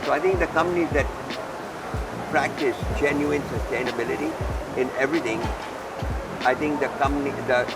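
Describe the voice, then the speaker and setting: A man being interviewed as a company executive, explaining at length in earnest, deliberate, point-by-point phrasing. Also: medium pitch, fairly even intonation, gravelly, low-quality recording. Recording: music laid under the voice. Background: background music.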